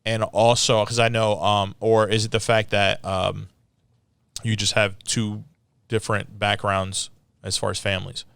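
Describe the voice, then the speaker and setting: A man's voice: on the low side, level tone, unhurried, vocal fry, a bit bright, smooth, dry recording. A man hosting a podcast, interviewing casually with informal, probing questions. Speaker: podcast host.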